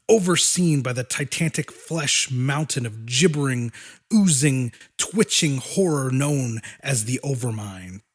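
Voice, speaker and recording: Male audiobook narrator, mid-pitched, deliberate and dramatic reading of scripted monster horror fiction.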